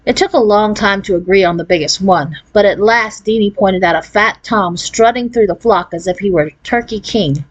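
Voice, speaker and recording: A woman reads a children's novel aloud. Her voice sits at a middle pitch, and she narrates expressively, in the manner of a storyteller. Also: normal speed, few pauses.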